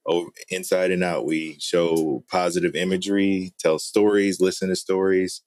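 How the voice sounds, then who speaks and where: Low-pitched man in casual conversation. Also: little pitch movement, moderate pace, a little mellow, no room sound. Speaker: guest, game studio founder. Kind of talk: casual chat.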